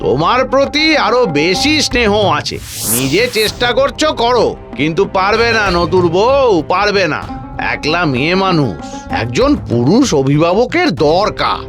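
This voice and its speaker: A man's voice: high voice, sing-song, normal speed, over music. A man playing a pushy, condescending village fellow in a cartoon, with a taunting, insinuating way of talking. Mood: smug persuasion. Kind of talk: dramatic cartoon voice acting.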